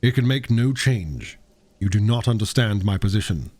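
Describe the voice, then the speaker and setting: A narrator, a man, plays a troubled gentleman doctor from a Victorian novel. He is formal and earnest, guarding a secret, in a low, husky voice that moves expressively. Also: lively intonation, normal speed, dry recording. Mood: pained. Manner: pleading, emphatic, formal.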